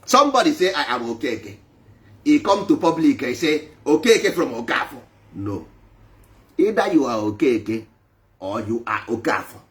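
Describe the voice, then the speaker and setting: A man's voice: mid-range voice, very animated, normal speed, a bit bright. A man preaching emphatically to a live online audience, repeating his points for force. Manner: emphatic, repetitive, switching between English and Igbo. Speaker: traditional spiritual speaker.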